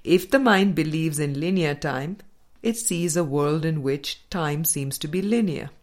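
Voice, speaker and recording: A woman reading a spiritual book aloud as scripted narration, in a deliberate, measured manner with pauses between phrases. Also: sits low, a little mellow. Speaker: audiobook reader.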